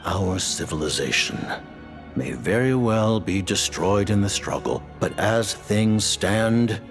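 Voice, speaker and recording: A deep gravelly stern commander. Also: male voice, lively intonation. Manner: measured, formal, grave. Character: stern, formal highest-ranking male commander. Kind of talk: video game voice acting. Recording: game audio with music.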